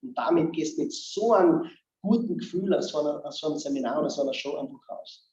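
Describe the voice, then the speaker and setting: A man with an Austrian accent talks about humor in a lively, joking way with a mellow voice. His delivery is emphatic and animated. The sound is roomy and somewhat dull. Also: on the high side, fast talker. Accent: Austrian German. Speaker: humor speaker and seminar guest. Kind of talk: lively casual talk-show chat.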